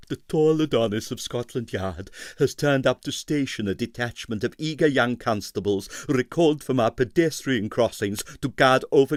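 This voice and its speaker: A male audiobook narrator reads comic fiction with very lively intonation. His voice is medium in pitch and recorded dry and close. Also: no echo. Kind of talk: dramatic scripted narration of a comic novel.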